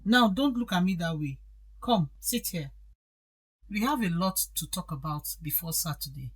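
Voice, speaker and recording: A woman's voice: fairly low pitch, animated, normal speed, slightly rough. A woman playing a bossy, sarcastic middle-aged stepmother in an audio drama, clipped, scolding and commanding. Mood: impatient, sarcastic.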